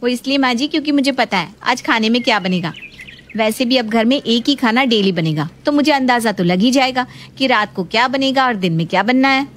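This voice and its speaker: Young daughter-in-law, high voice, naive chatterbox with a childlike streak, put on by a woman storyteller. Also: animated, brisk, slight background noise, natural voice. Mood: chatty. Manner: questioning, talkative.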